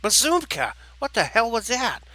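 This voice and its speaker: A man as an excitable, higher-pitched turkey warrior. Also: brisk, no echo. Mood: startled. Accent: New Jersey. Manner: exclaiming, goofy. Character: brash, excitable humanoid turkey warrior, male, wisecracking.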